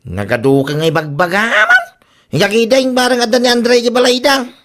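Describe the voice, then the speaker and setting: An actor with an Ilocano accent playing a reluctant, exasperated henpecked husband in a radio comedy drama. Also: male voice, extremely high pitch, huge pitch swings, brisk, pushed and bright, full character voice.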